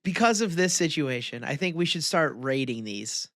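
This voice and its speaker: Male co-host, slangy casual chat, very lively intonation, dry close recording. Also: fairly high pitch, normal speed, no room sound. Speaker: podcast co-host.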